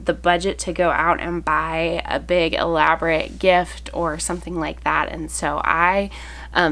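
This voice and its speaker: A woman's voice: lowish voice, a bit bright. A woman in her thirties, a mother of eight with a slight cold that makes her a little froggy, chatting casually through gift ideas with many ums. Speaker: family vlogger, mother of eight. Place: home, indoors.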